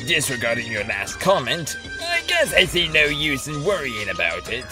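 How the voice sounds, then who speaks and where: A man playing a sly, greedy old villain with a pompous, measured manner in an audio drama. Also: a little high, wildly swooping pitch, music in the background. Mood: sly, dismissive. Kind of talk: amateur audio drama acting.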